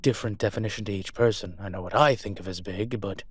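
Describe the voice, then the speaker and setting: A man playing a suave, teasing demon professor in an audio roleplay, with a wry, conversational delivery and a husky voice. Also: on the low side, fast. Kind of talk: intimate audio roleplay acting.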